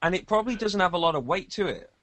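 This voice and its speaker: A man's voice: sits high, animated, very fast, a bit bright, no room sound. A man co-hosting a podcast in casual, conversational tech talk. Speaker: podcast co-host.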